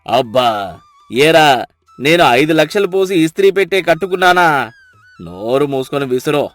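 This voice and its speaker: A man's voice: a little high, animated, normal speed, fairly bright tone, no echo. A man voicing a rich, proud, irritable older man who complains and exclaims about his misery in a cartoon moral story. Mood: irritated. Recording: music behind the voice. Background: music.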